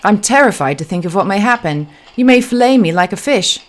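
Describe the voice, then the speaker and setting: A woman voicing a crisp, playful British woman in an audiobook, politely insistent. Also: on the low side, moderate pace, close to an everyday voice. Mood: teasing. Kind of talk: audiobook character dialogue.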